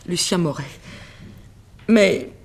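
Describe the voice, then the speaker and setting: A woman's voice: on the low side, slow, creaky, breathy edge, heavily acted. An actress playing a grieving middle-aged mother, a former seamstress, in a plaintive, dramatic 1960s French radio mystery style. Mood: wistful, tender.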